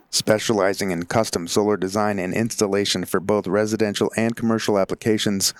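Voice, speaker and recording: A man hosting a podcast, reading sponsor thanks and introductions in a steady, even way. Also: fairly low pitch, dry recording. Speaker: podcast host. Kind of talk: read sponsor announcements.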